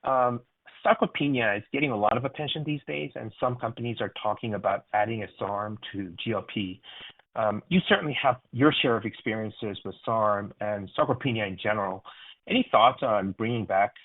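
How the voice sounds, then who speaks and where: A man's voice: on the low side, fairly quick, no room sound. A man calling in as an analyst, putting a question in an informal, conversational way. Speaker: analyst caller asking a question. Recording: dry, narrow telephone line.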